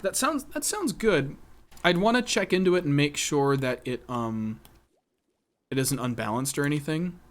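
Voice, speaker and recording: A man's voice: a little high, animated, moderate pace. A man giving casual live gameplay commentary, chatting with viewers and thinking aloud in a self-deprecating way. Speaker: game streamer. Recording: music behind the voice.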